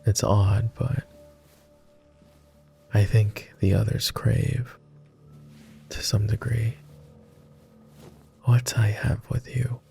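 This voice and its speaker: Man voicing a deep, slow, tender vampire. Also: little pitch movement, creaky, warm tone, soft background music, heavily acted. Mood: wistful, earnest. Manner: tender, earnest, hesitant, somewhat formal speech. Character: devoted, world-weary immortal male vampire companion. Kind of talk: intimate roleplay ASMR voice acting.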